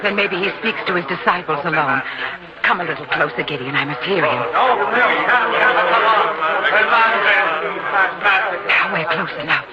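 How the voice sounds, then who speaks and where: A calm, devout mother played by an actor in old-time radio style. The delivery is measured and gently firm, instructing a child with patience. The sound is dull and lo-fi, with clear noise behind it. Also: mid-range voice, natural voice. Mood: intent, hushed.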